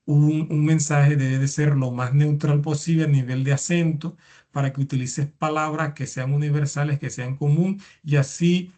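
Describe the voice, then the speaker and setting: A man's voice: on the high side, fairly even intonation, moderate pace, warm tone. A man giving a recorded university lecture, explaining step by step and often stumbling over repeated words and fillers.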